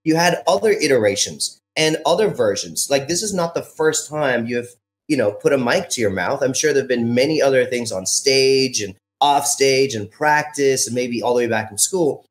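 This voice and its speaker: A man from India with a mixed international accent that partly sounds American, telling his story as a guest in an interview with measured, earnest emphasis. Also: mid-range voice, expressive. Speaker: guest speaker.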